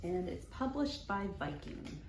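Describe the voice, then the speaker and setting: Casting: female children's storytime reader, unhurried and sing-song, playful with sound words, recorded in an echoey room. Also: mid-range voice, very animated. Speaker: children's librarian, storytime host. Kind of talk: expressive picture-book read-aloud for young children, with rhymes. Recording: roomy echo, faint background noise.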